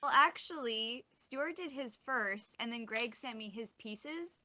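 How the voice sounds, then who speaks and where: A woman chatting casually as a cast member, animated and a little self-deprecating. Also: fairly high pitch, a little slow. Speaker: voice actor in the radio play. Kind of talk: casual chat.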